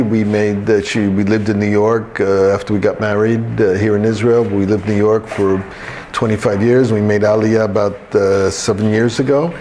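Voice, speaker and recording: A middle-aged man with a gravelly, lowish voice gives an informal student testimonial. He speaks haltingly, stopping mid-thought, with noticeable ambient noise behind him. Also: darkish tone. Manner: halting, pausing mid-sentence. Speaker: yeshiva student giving a testimonial.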